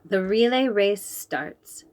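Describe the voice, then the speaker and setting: A woman reads a picture book aloud to young children. Her pitch rises and falls a great deal, her pace is slow, and her storytelling is expressive. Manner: expressive, animated.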